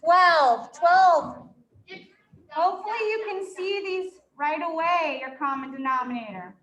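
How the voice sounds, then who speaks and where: A woman's voice: very high voice, a little slow, fairly bright tone, echoey room, poor audio. A woman with a New York accent teaching a fifth-grade math class, working problems aloud and quizzing her students. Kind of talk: live classroom math lesson.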